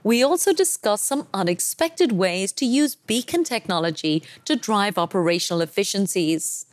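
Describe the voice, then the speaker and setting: A woman's voice: medium pitch, dry recording. A woman hosting a podcast, reading a polished, enthusiastic intro and then interviewing her guest. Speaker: podcast host.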